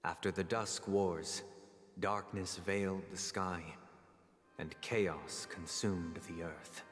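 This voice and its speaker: An actor playing a calm, grandiose villain in a video game, speaking formally and ceremoniously like a master of the stage. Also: male voice, low-pitched, slow. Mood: solemn, grandiose. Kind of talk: scripted video game voice acting. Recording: long hall reverb, music underneath.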